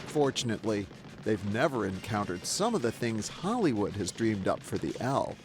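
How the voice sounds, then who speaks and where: Lively wry male documentary narrator. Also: on the low side, normal speed, very noisy. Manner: wry, conversational, lively delivery. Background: music under the narration.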